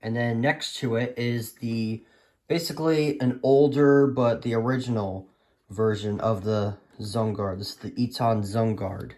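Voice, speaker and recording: A man's voice: medium pitch, steady tone, a little slow, darkish tone. A man giving a casual, unscripted show-and-tell as a hobbyist, speaking hesitantly and often trailing off. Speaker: hobbyist collector presenting a radio collection.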